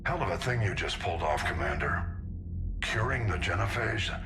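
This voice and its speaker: Seasoned admiral for a game. Low, gruff, clipped, in command. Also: male voice, animated, moderate pace, over music. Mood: measured, approving. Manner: clipped, authoritative. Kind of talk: video game cutscene acting.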